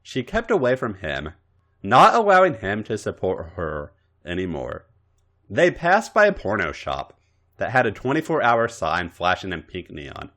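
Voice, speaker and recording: A man reads fiction aloud as a podcast narrator. His delivery is dramatic and comic, with extremely animated, wide-ranging intonation. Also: lowish voice, measured pace. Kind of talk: dramatic comic reading of erotic fan fiction.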